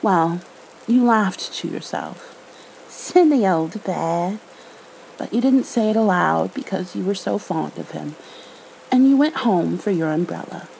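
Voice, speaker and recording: A woman reading a storybook softly in ASMR style, with a gentle, motherly, soothing manner. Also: on the low side, expressive, moderate pace, creaky, slight background noise, poor audio. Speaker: ASMR roleplayer, bedtime story reader. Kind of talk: soft ASMR storybook narration.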